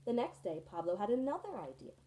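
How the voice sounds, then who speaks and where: A woman's voice: mid-range voice, very animated, fast talker, mellow. A woman teacher reading a children's picture book aloud to her students, storytelling expressively with friendly asides.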